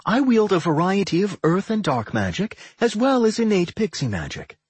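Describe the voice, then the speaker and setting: A tiny female pixie, as a male narrator plays her, high and lilting. She speaks with polite, proud formality, a little dungeon fairy from a fantasy storybook. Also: big pitch swings, normal speed, husky, no echo, full character voice.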